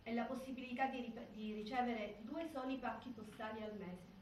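A woman's voice: on the high side, fairly even intonation, moderate pace, a little mellow. A woman reading scripted narration for documentary theatre in an echoey hall. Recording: echoey hall, distant, faint noise.

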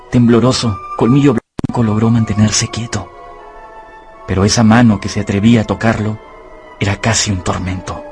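A male storyteller with a fairly low voice narrates a radio drama. His delivery is measured and dramatic, with soft music underneath.

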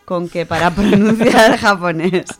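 A woman from co-hosting a radio show, chatting casually and reading a story, animated, with many fillers. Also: mid-range voice, moderate pace, soft background music. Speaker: radio co-host presenting a literature section.

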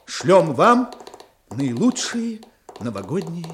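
An earnest seaside villager in a 1960s radio drama, declaiming formally in a highish voice. He speaks slowly with big swings of pitch, over faint noise. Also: sing-song. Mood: proud, festive. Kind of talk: 1960s radio play acting.

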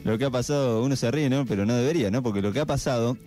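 A man's voice: mid-range voice, rapid-fire. A man with an Argentine accent chatting casually as a radio host. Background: soft guitar music.

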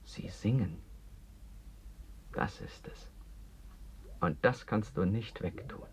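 An actor playing a brooding, probing octopus in a German radio play, with solemn, insistent theatrical delivery. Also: male voice, on the low side, slow pace. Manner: theatrical, solemn, insistent, dropping to near whispers. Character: brooding octopus with eight arms, self-confessed freak, probing interrogator. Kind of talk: German radio play acting, literary dialogue. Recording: dull, muffled radio drama recording.

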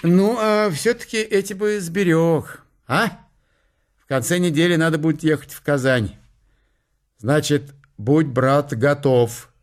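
An actor playing a sly, folksy old male court paymaster in a radio play, with a soft purring drawl. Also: fairly high pitch, a little slow, lightly acted. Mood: instructing. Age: older.

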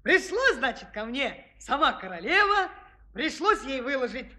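A cunning young shepherd lad, with a high, bright voice and very lively intonation. He spins his stories with cheeky mock innocence, in the manner of a children's radio play. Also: moderate pace, exaggerated voice. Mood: mock-innocent. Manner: mock-innocent, teasing storytelling. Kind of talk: theatrical children's audio play acting.

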